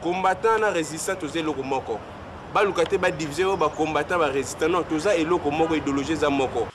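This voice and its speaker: A man's voice: fairly high pitch, fast, a crowd in the background. A man with a Congolese accent giving informal political commentary, arguing and switching between two languages.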